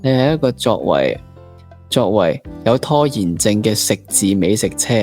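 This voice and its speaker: A man's voice: lowish voice, big pitch swings, a little slow, darkish tone, faint music behind. A man with a Hong Kong Cantonese accent hosting a casual interview, informal and mixing English words into his talk. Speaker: podcast host.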